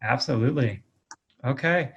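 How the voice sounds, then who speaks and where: A man's voice: medium pitch, moderate pace, vocal fry, fairly bright tone, highs cut off. A man hosting a podcast interview in an affirming, effusive, conversational way.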